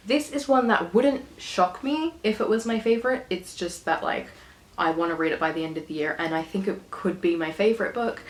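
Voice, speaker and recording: Giggly young Australian woman, hoarse, echoey room. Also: on the low side. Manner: chatty, giggly, rambling with fillers. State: hoarse from recovering illness. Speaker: book vlogger. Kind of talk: casual chat to camera.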